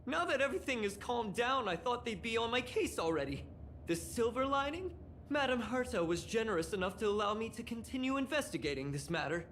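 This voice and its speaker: A woman's voice: a little high, animated, brisk, a bit bright, slight background noise. A woman voicing an earnest, talkative young cybersecurity engineer in a video game, explaining things expressively. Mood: determined. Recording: game music behind voice. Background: game music.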